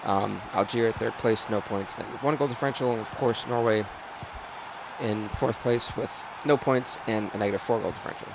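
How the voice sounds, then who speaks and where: Raspy male football game streamer. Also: fairly low pitch, fairly quick, noisy background. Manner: play-by-play sportscaster phrasing. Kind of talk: live football game commentary and stream chat.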